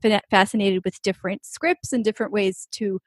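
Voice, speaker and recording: A woman hosting a podcast interview, enthusiastic and giggly, often stumbling over repeated words in her excitement. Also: mid-range voice, a little fast, husky, no room sound, clean studio sound. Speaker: podcast host. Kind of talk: casual interview chat.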